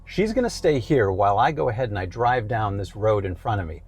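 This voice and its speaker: A man's voice: lowish voice, lively intonation, fairly quick, breathy edge. A man presenting a product range test in a casual, conversational way from inside a car.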